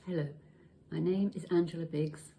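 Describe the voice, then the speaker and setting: A woman's voice: low-pitched, steady tone, normal speed, gravelly, dark tone. A woman, giving a personal account as a patient. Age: 60s. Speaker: patient sharing experience. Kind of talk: personal testimony. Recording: faint background noise.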